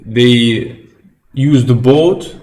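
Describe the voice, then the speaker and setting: Slow, halting Algerian man on a stream. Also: mid-range voice, a little mellow. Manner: halting non-native English, searching for words. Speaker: livestream host. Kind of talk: casual livestream chat with viewers.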